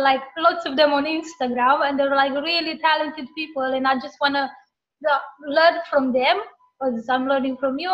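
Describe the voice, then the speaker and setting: Woman interviewer, high voice, chatty, rambling, muffled lo-fi sound. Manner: chatty, rambling questions with fillers. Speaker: interview host. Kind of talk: casual interview chat. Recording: muffled lo-fi call audio.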